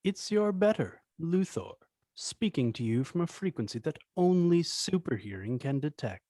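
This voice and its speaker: A man's voice: fairly high pitch, big pitch swings, moderate pace, warm tone, dry recording. A man playing a smug villain mastermind who taunts with mocking condescension, in an amateur script table read. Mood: smug, taunting.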